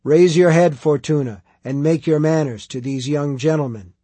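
A man voicing a huge, imperious but good-humored prioress in an audiobook, with a rolling, booming voice and archaic formal speech. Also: moderate pace, dark tone, breathy edge, dry recording, close to an everyday voice. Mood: commanding.

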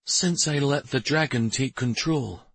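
A man voicing a hot-tempered young prince in an audiobook story, brooding, defensive and quick to snap. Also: mid-range voice, fairly bright tone, breathy edge, voice pushed up. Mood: troubled. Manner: snapping, argumentative.

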